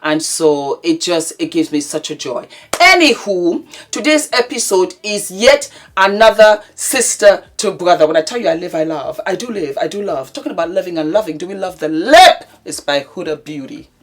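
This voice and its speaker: Low-voiced woman in her fifties vlogging. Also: animated, normal speed. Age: 50s. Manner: warm, theatrical greetings with laughs. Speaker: vlogger host. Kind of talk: casual advice chat to camera. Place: home, indoors. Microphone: camera mic.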